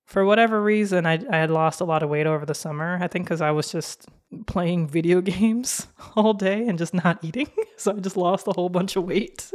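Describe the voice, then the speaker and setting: A young adult woman hosting a podcast, talking slowly in a fairly monotone voice in a casual personal monologue. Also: on the low side. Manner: slow, self-described monotone delivery with frequent laughs. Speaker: podcast host.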